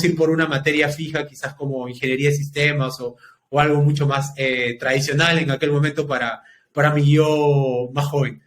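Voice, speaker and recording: A man's voice: fairly high pitch, fairly bright tone, slight background noise. A man, a guest on a podcast, reflecting on his past in an easy conversational way. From Peru. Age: 30s. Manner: reflective, talks in long unhurried stretches. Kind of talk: casual interview storytelling.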